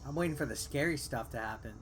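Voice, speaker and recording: A man's voice: mid-range voice, quick pace. A man doing casual, offhand gameplay commentary and reading game text aloud, with game music behind him. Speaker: game reviewer and video host.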